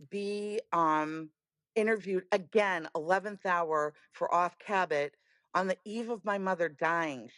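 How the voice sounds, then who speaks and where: A woman's voice: fairly low pitch, dry recording. A woman, telling her story as a podcast guest in a candid, emphatic way. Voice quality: raspy voice. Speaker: guest, music booker. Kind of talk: podcast conversation.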